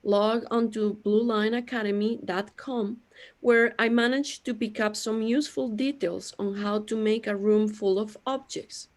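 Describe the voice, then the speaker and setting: A woman playing a lonely, self-pitying immortal creator god in a scripted audio drama, speaking in a plaintive, deliberate way. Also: fairly high pitch, steady tone. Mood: confessional.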